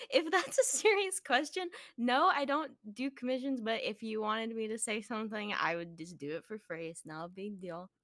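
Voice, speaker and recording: A woman's voice: fairly high pitch, moderate pace, a bit bright. A woman from the United States chatting casually and emphatically as a podcast co-host. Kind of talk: casual opinionated chat.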